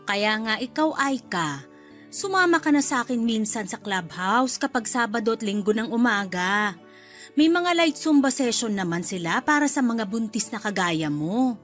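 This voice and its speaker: A woman's voice: on the high side, expressive, moderate pace, a bit bright, soft background music. A woman playing a meddling middle-aged mother-in-law in a radio drama, chatty and sweetly critical. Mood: needling. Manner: chatty, fussing, needling under politeness.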